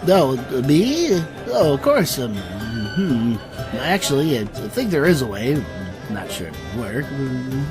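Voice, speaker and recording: An actor playing a self-satisfied eccentric inventor in a fantasy audio drama, halting and rambling with constant stammered uhs. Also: male voice, mid-range voice, big pitch swings, over music. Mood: hesitant.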